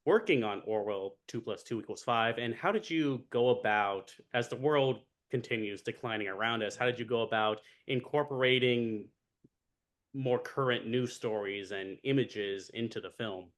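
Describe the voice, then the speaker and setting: Male interviewer, mid-pitched, friendly, long multi-part questions. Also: normal speed, fairly bright tone.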